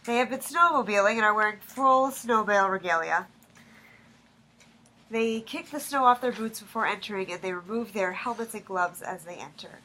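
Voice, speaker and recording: A woman's voice: medium pitch, moderate pace, sharp tone, poor audio. A woman reading stage directions as scripted narration for a staged play reading. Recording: faint background noise.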